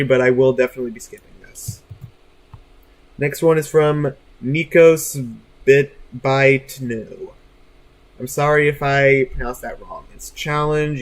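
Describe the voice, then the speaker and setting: A man's voice: mid-range voice, poor audio. A man chatting casually as he hosts a gaming livestream, informal, apologetic and self-deprecating. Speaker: livestreamer host. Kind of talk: casual gaming stream chat.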